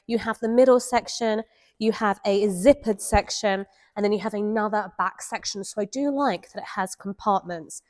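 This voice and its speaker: A woman with a head cold, sounding nasal, chatting enthusiastically through a handbag review. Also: mid-range voice. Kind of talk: casual product review vlog.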